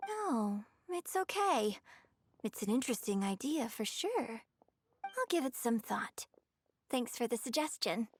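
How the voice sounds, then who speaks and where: A woman playing a gracious young opera star in a video game, courteous, measured and gently reserved. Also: a little high, big pitch swings, a little hiss or hum. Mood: thoughtful. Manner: courteous, measured, softly thoughtful. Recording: music bed under the voice.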